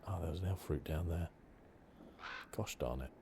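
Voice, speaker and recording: A soft-spoken man giving ASMR gaming commentary, drifting into whispers. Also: low voice, normal speed, gravelly, noisy background. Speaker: gaming channel host. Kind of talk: ASMR video game playthrough commentary.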